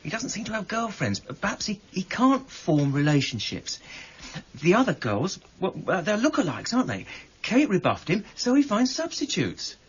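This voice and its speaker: A man's voice: high-pitched, wildly swooping pitch, moderate pace, a bit bright, slightly rough, muffled recording, full character voice. An actor playing a keen, feeling police sergeant, a junior man in a radio crime drama. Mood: keen, earnest.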